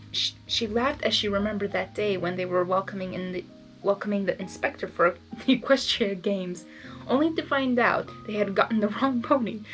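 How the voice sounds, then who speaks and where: A woman's voice: medium pitch, fairly bright tone, rough recording. A woman doing a first-time reading of fan fiction, casual and chatty, breaking off with asides. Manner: casual, chatty, laughs at the text. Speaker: channel host, reader.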